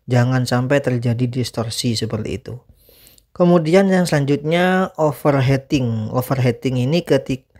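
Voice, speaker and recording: A man's voice: medium pitch, a little mellow. Man giving an informal explainer. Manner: instructive, repeats points with tag phrases. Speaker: presenter of an audio tutorial channel. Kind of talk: informal explainer, part reading aloud, part ad-lib commentary.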